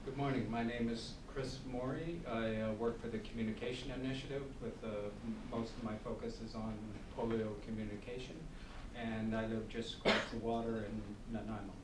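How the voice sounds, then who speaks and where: A man's voice: lowish voice, fairly even intonation, fairly quick. A man participant briefly introducing himself and his work to a group. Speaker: session participant. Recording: echoey, very noisy room, distant mic.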